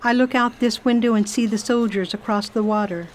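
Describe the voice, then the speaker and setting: A brisk lady traveler gives orders in a firm, commanding tone, played by an actress in a radio play. Her voice stays even and steady. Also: a little high, lightly acted. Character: brisk determined Englishwoman traveler. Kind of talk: radio drama acting.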